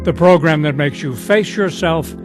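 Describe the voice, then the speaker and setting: A man's voice: on the high side, moderate pace, over music. A man narrating as the announcer of a radio drama program in a scripted style.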